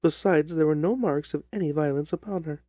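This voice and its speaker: Distressed young gentlewoman, played by a man, formal and theatrical, soft dark tone, dry close sound, audiobook drama. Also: on the high side, brisk, no room sound, natural voice. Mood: earnest, troubled. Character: distressed young gentlewoman recounting her sister's terrifying death.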